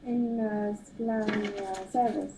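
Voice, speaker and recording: Woman, even high-ish pitch, halting caller, faint noisy internet line. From Algeria.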